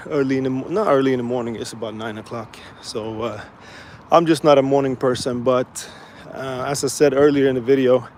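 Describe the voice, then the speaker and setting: A man from Sweden casually chatting to viewers while walking outdoors by the water, relaxed and rambling with many fillers. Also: medium pitch, fairly quick. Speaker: vlogger. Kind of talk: casual live-stream walking vlog. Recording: faint outdoor street noise.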